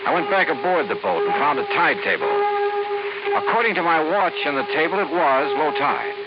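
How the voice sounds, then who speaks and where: A tough American male insurance investigator in a 1950s radio mystery. He is clipped and dryly hard-boiled, with very animated pitch. The old recording is dull, with music behind. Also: brisk. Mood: brisk narrating. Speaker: lead actor and narrator. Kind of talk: 1950s radio detective drama acting.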